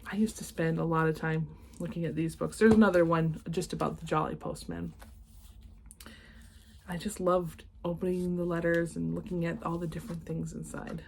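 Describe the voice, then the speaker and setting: A woman reading a children's picture book aloud, expressive in the story and chatting casually and enthusiastically in asides. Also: on the low side, normal speed, vocal fry, a little hiss or hum. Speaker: read-aloud host.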